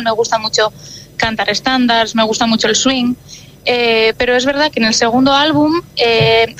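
A Basque-native woman, a jazz singer, answering interview questions in a relaxed, thoughtful way with many filler words. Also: high voice, slight background noise, poor audio.

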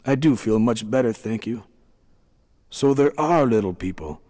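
A man's voice: medium pitch, animated, normal speed, mellow, in a large hall, close to an everyday voice. A man voicing a frightened young woman in labor, pleading and gasping in a storybook reading. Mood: relieved.

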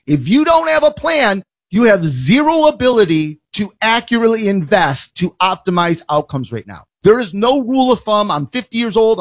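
A man hosting a financial advice radio show, talking in a blunt, emphatic way and rattling off lists. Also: high-pitched. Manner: emphatic, blunt, rapid listing. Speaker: financial advisor radio host.

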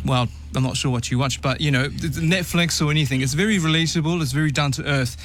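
A man who grew up speaking Tongan, an opera tenor being interviewed, chatting in a relaxed way and laughing easily. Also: fairly high pitch, fast, a little hiss or hum. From New Zealand, Tongan family. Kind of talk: casual interview chat.

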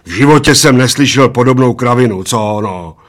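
A gruff old giant of a pub regular as a male narrator plays him. He is scornful and coarse, needling and dismissing whoever he talks to in a storybook squabble. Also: medium pitch, lightly acted. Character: huge bald old man, gruff scornful pub sceptic.

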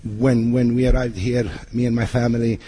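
A man's voice: mid-range voice, flat delivery, normal speed, warm tone, slightly rough, poor audio. A man from Romania, a guest on a radio interview, speaking hesitantly with many fillers. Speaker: hotel manager guest.

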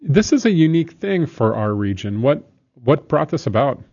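A man's voice: a little high, sing-song, normal speed, a little mellow, husky. Man in his forties, muffled radio chat. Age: 40s. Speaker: radio guest host. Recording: muffled radio broadcast audio.

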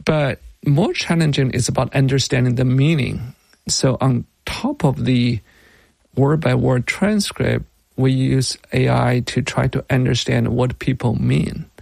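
A middle-aged man with a Chinese accent gives explanatory interview answers in a heavily creaky voice. He speaks slowly and phrases things in a halting, deliberate way, with a close, dry sound. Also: medium pitch, a little mellow, no room sound. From Beijing, China. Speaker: tech company founder being interviewed.

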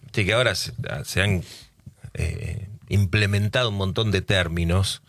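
A man's voice: deep voice, a little slow, fairly bright tone, no echo. A man, a blunt and opinionated panelist in a casual football talk show.

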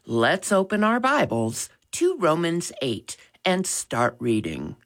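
A woman reads a patient father's lines in a low voice, close to her own. He speaks gently and encouragingly. The delivery is slow and full of lilt. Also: wildly swooping pitch, exaggerated voice. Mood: reassuring.

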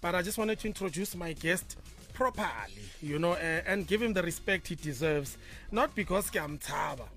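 Husky South African radio host. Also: male voice, high voice, moderate pace, a bit bright, soft background music. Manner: playful, teasing banter. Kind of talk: casual radio chat.

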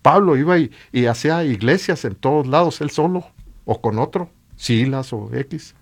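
A man with a Latin American Spanish accent and a husky voice, preaching informally and emphatically with repeated rhetorical questions. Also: medium pitch, animated, moderate pace. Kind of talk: informal exhortation sermon.

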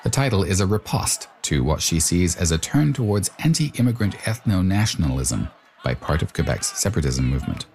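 A man reporting and narrating a radio news feature in a measured, explanatory way. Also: low-pitched, lively intonation, a little mellow.

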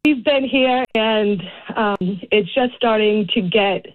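A woman in her thirties with a Boston accent, a candidate talking earnestly on a radio call-in with lots of ums and restarts. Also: a little high. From Boston area. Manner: earnest, halting, with many fillers. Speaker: congressional candidate, radio guest. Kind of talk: casual radio interview. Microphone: phone call. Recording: tinny phone line.

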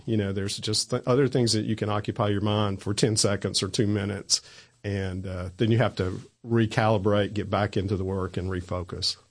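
Older man, relaxed and low-voiced. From Tennessee, US. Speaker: newspaper columnist, guest. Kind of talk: casual interview.

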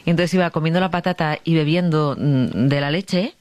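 A woman's voice: deep voice, brisk, a little mellow, no echo. A woman hosting a casual radio chat, emphatic and fond of repeating her phrases.

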